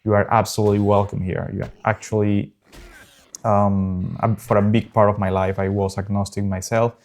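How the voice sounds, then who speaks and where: A man in his early thirties from South America, a native Spanish speaker with a South American Spanish accent, talking casually and earnestly over gameplay as host of his gaming channel, with frequent pauses. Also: fairly low pitch, little pitch movement, darkish tone. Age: early 30s.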